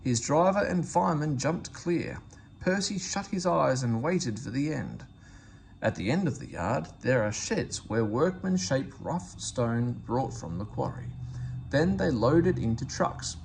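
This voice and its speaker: A man reading a children's story aloud in expressive narration. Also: medium pitch, normal speed, gravelly, slight background noise, low-quality recording. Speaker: storybook reader.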